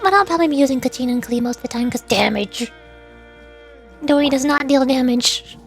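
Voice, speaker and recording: A woman streamer chatting casually about a video game in a rambling, playfully exasperated way, often halting and repeating words. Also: sits high, creaky. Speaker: streamer, VTuber. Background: background music.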